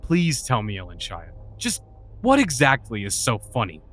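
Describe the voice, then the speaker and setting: A man's voice: medium pitch, wildly swooping pitch, normal speed, fairly bright tone. An actor playing a scornful, exasperated male warlock in a fantasy audio drama, scolding and sarcastic. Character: irritable, scornful male dark magician and warlock. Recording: music behind the voice. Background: music.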